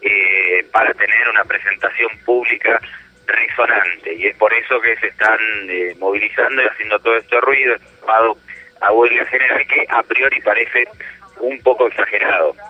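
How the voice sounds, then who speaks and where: A man reporting by phone as a correspondent, giving measured analysis with frequent fillers. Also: lowish voice, slightly rough. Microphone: telephone. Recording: dull lo-fi phone line.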